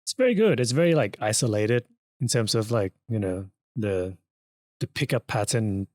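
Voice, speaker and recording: A man's voice: lowish voice, animated, moderate pace, no echo, studio quality. A man co-hosting a podcast, chatting casually and informally. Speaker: podcast co-host and audio editor. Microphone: close podcast microphone.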